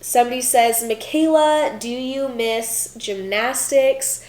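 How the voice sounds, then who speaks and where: A woman's voice: fairly high pitch, slow pace, a little mellow, reverberant. Chatty young woman with a stuffy cold. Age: 20s. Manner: chatty, lots of "like" and "um". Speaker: vlogger, former college gymnast. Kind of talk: casual Q&A vlog.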